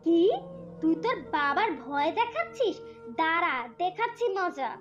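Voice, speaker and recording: A woman's voice: extremely high pitch, animated, normal speed. A woman voicing a cruel, scheming young stepmother in a fairy-tale cartoon, with a bullying, scolding manner. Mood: furious, bullying. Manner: scolding, contemptuous rhetorical questions. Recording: dull lo-fi cartoon track with music.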